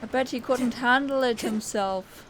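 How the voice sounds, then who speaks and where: A woman giving casual commentary as she plays a video game, talking back to it with soft-hearted interjections. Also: a little high, unhurried, slight background noise. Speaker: gamer giving playthrough commentary. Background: game music.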